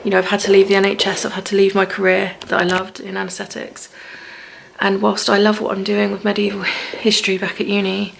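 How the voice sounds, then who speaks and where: Woman vlogger, worn-out delivery, heavy vocal fry, narrow monotone pitch. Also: brisk, slight background noise. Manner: weary, low-energy talk. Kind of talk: personal video diary. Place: apartment at home.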